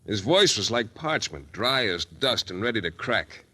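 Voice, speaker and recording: A man's voice: lowish voice, very animated, normal speed, pushed and bright, husky, exaggerated voice. An American man playing a wisecracking, hard-boiled private detective in 1940s radio style, wry, breezy and slangy. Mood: wry narration. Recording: noisy 1940s radio transcription recording.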